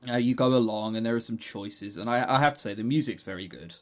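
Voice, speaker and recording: A man's voice: lowish voice, quick pace. A man co-hosting a podcast, casually reviewing a game and retelling its story in a rambling, chatty way with stammering restarts. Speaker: podcast co-host.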